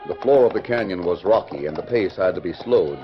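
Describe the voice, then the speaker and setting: A man's voice: low voice, expressive, brisk. A man narrating a 1940s radio western serial in a formal, stirring storytelling style. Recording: dull, lo-fi 1940s radio recording. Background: loud music.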